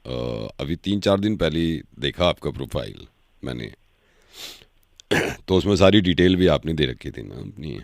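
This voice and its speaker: A man voicing a genial middle-aged father on a prank call, halting and avuncular as he talks. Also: very deep voice, very animated, creaky, no room sound, clean studio sound, full character voice. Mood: genial, hesitant. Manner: slow, halting, chuckling, avuncular. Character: genial, hesitant middle-aged father seeking a match for daughter.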